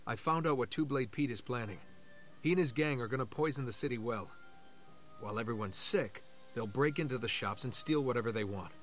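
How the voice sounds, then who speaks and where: A man's voice: medium pitch, fairly quick, fairly bright tone, soft background music, natural voice. An actor voicing an earnest young man, a former gang member turned hero in a video game. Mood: urgent.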